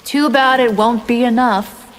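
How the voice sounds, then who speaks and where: A woman's voice: on the high side, fairly even intonation, unhurried, heavy background noise, natural voice. An actress playing a haughty, menacing winged vampire woman in a fighting video game. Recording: loud music behind the voice.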